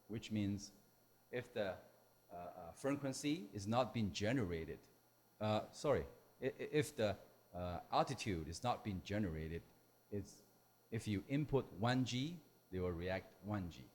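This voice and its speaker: Guy giving a technical talk, non-native english, mellow low voice, halting and unhurried. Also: animated, a little hiss or hum. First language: not English. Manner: halting, hesitant delivery with simple broken phrasing. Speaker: technical presenter at a symposium. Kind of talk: explanatory technical lecture.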